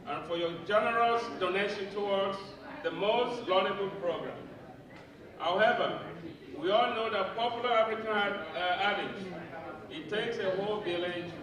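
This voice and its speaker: A man, president of an association, giving a formal, measured speech of thanks at a gala in a large hall. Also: sits high. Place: large event clubhouse hall. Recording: echoey hall from far off, crowd. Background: audience crowd.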